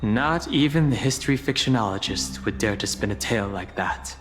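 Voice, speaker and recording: A man playing a composed, thoughtful traveling companion in a video game, with a measured, analytical delivery. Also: fairly low pitch, lively intonation, lightly acted. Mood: calm, analytical. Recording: long reverb, faint music.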